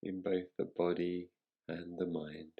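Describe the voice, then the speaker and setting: Slow, deep-voiced man guiding meditation. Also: level tone, creaky, narrow, tinny audio. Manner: soothing, unhurried, with long pauses. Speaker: meditation teacher. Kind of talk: guided relaxation meditation.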